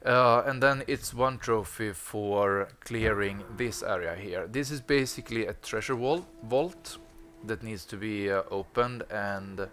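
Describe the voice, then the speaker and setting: A man with a slightly low voice talks through a video game stream, pausing often with ums and uhs. Soft game music plays faintly behind him. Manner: hesitant, many ums and uhs. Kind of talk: casual gameplay commentary.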